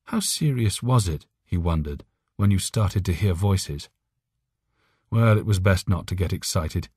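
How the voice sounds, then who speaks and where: A man's voice: low voice, animated, a little slow, a little mellow, breathy edge, no echo, studio quality. A man reading scripted story narration as an audiobook narrator.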